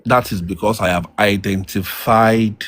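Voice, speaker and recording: A middle-aged man, a guest elder giving measured marriage advice over a distant remote call line. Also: fairly low pitch, fairly even intonation. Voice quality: loud voice. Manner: slow, measured advice with pauses.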